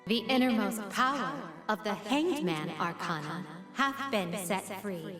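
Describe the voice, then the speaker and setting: A woman's voice: mid-range voice, animated, a little slow. A woman voicing a solemn mystical spirit in a game, with ceremonial, incantatory delivery and ghostly reverb. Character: solemn mystical spirit bestowing ancient power. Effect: long ghostly reverb. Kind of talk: archaic ceremonial game voice acting. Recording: long echoing reverb under music.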